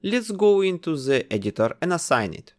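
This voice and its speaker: Male tutorial narrator, methodical and instructive, with a lively, varied pitch. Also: moderate pace. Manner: methodical, instructive, explains each step. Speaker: tutorial host. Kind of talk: step-by-step software tutorial narration.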